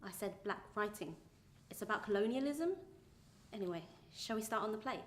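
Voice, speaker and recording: A woman's voice: mid-range voice, expressive, brisk, echoey room, a little hiss or hum, natural voice. A woman playing a flustered stand-in leading a rehearsal, who turns defensive. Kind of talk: short film acting.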